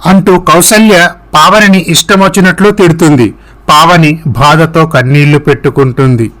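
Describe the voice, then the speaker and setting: Higher-pitched male story narrator. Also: moderate pace, fairly bright tone, poor audio.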